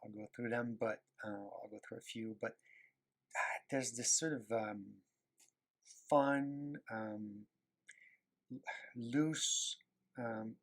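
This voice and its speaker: A man's voice: medium pitch, fairly even intonation, slow, long pauses. A man with a Quebec French accent, a native French speaker, chatting casually and searching for words as he talks while drawing. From Quebec, Canada. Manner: rambling, searching for words, many fillers. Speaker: animator and illustrator.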